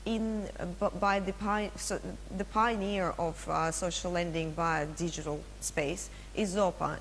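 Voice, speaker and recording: A woman with a Russian accent giving a business presentation at a conference, with frequent fillers and restarts, asking the audience questions. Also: on the low side. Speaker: conference speaker. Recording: long hall reverb, faint hum.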